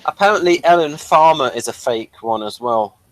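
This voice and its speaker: A man's voice: fairly high pitch, animated, moderate pace, poor audio. A man hosting an informal review, muddling along and thinking aloud as he presents. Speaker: host presenting an episode review. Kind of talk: casual chat, informal presenting.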